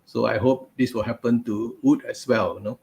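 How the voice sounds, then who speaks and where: A man's voice: medium pitch, fairly even intonation, moderate pace, vocal fry, darkish tone. A man hosting a live webinar interview over a video call, chatty, with many fillers and asides. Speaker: host. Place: home office, video call. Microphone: video call webcam.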